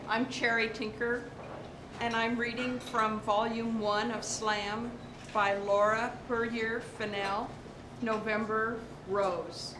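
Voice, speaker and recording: A woman reading a poem aloud in a measured style. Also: mid-range voice. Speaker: poetry reader. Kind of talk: slow, measured poetry reading. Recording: echoey room with clear background noise.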